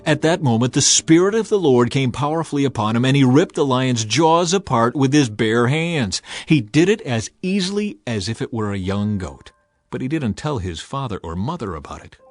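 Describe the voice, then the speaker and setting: A man narrating a dramatized scripture audiobook as an expressive storyteller. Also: medium pitch, normal speed, no echo. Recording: music behind the voice. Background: music.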